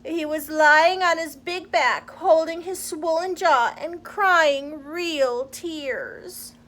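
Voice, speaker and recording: Woman, children's storybook narration, high pitched, expressive, deliberate phrasing, faint background noise. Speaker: storyteller reading aloud to children.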